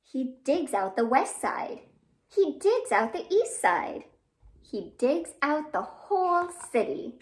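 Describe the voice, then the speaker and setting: A woman's voice: high voice, big pitch swings, slow. A woman hosting a children's storytime, reading expressively with asides and questions to young listeners. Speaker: library storytime host.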